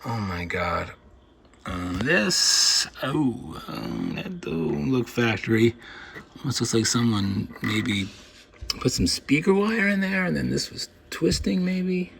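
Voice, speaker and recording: Guy with a mid-pitched voice casually talking thru a hands-on repair, thinking out loud, some background noise. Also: big pitch swings, takes it slowly. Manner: thinks aloud while inspecting, trailing off. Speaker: electronics hobbyist hosting a repair video. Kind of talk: casual unscripted hands-on commentary. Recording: clear background noise, room ambience.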